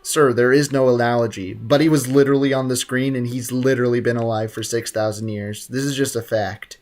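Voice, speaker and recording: A man's voice: mid-range voice, fairly even intonation, fairly quick, a little mellow, soft background music. Rambling, joking man streaming a game. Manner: rambling, joking, talks to chat. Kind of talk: casual gameplay commentary.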